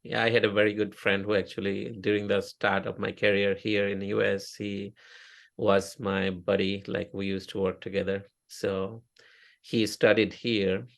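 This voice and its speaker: A middle-aged man with an Indian accent, a native Oriya speaker, talks through his career in a casual interview. His low, gravelly voice is halting, full of fillers and restarts. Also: level tone. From India (Odisha). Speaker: interview guest, software engineer.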